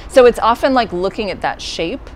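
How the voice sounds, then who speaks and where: A woman with a fairly low voice, a puppeteer guest giving casual, friendly explanations with many fillers. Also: expressive, moderate pace, background noise.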